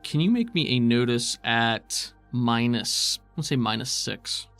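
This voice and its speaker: A man runs a tabletop game as game master, with noticeable fry in a mid-pitched voice. He narrates scenes descriptively in an easygoing, conversational way, with soft music underneath. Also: animated, measured pace.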